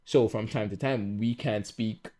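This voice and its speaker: A man's voice: lowish voice, steady tone, unhurried, darkish tone. A young Jamaican man co-hosting a podcast, chatting casually and explaining things with everyday examples. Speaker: podcast co-host. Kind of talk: casual chat.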